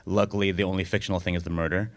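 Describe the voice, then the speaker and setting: A man's voice: low voice, level tone, racing. A man answering audience questions as a film director, casual and rambling, often restarting and repeating words. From United States.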